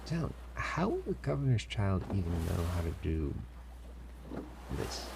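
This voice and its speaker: A man's voice: low-pitched, wildly swooping pitch, creaky, full character voice. A man playing a stern, menacing pirate captain who grudgingly cares, in a commanding audio roleplay with noisy ambience behind him. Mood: gruff, grudging concern. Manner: slow, commanding, with sighs and feigned indifference. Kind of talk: audio roleplay acting, addressing a captive listener.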